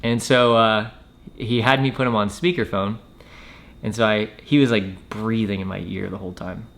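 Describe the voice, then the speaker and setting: A man telling a personal story in a casual, matter-of-fact way with hesitant pauses. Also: fairly low pitch, fairly even intonation, creaky, fairly bright tone. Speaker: storyteller, former Marine recruit.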